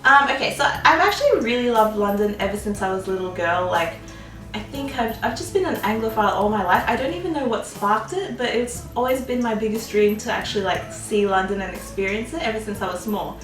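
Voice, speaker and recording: A woman's voice: mid-range voice, animated, a little fast, fairly bright tone, echoey room, distant mic, soft background music, low-quality recording. A young woman in her twenties, chatting through a casual Q&A with a bubbly, giggly manner and lots of "um"s and "like"s. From Australia. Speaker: vlogger. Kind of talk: casual Q&A chat.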